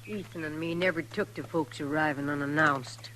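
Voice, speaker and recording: A woman's voice: low-pitched, fairly even intonation, moderate pace, a bit bright, low-quality recording. An actress playing a hostile, hardened frontier homesteader woman, curt and defiant, in an old radio western. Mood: hard, hostile. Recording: 1950s radio recording, faint noise.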